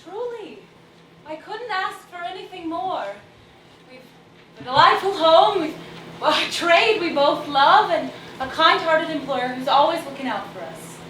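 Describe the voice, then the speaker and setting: An actress playing a cheerful, witty young Victorian daughter in a stage play, playful and articulate. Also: female voice, very high-pitched, expressive, unhurried, a bit bright, exaggerated voice. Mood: fond, bright. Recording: echoey stage, distant mic, faint noise.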